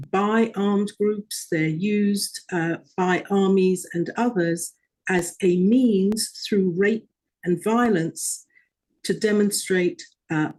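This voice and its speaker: A woman from Guyana answering interview questions in a measured way, pausing often on "uh". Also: fairly low pitch, a little mellow, low-quality recording. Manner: measured, with frequent hesitations. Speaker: interview guest.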